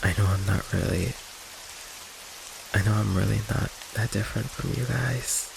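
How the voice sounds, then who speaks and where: A man playing a shy, affectionate vampire boyfriend in an intimate ASMR roleplay, speaking softly and haltingly with rain behind him. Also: lowish voice, fairly even intonation. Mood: moved, loving. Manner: soft, hesitant, halting, breathy sighs and chuckles. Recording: faint noise, rain ambience behind. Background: rain ambience.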